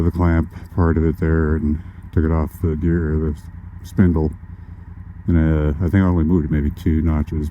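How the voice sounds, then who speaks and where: A man vlogging from his motorcycle, talking casually through a helmet boom mic, rambling with lots of "uh" fillers. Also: dull audio. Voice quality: occasionally a deep voice. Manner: rambling, halting with frequent "uh" fillers. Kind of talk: casual ride-along commentary. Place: riding a motorcycle on the road outdoors. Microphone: boom mic inside a modular helmet chin bar. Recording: helmet mic with loud road noise. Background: wind and traffic noise.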